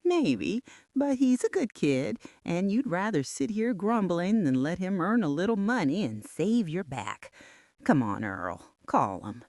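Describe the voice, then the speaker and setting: A woman's voice: on the low side, sing-song, measured pace, a little mellow, no echo, clean studio sound. A woman playing a firm older wife who scolds, teases and coaxes in a comic radio skit. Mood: coaxing, teasing. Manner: scolding yet coaxing. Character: older wife, firm, coaxing, gently teasing.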